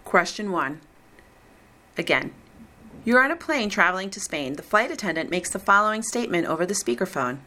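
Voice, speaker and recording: A woman reading the script of a recorded listening exam in a slow, deliberate, evenly paced way. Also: on the low side, sing-song, pushed and bright. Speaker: exam reader.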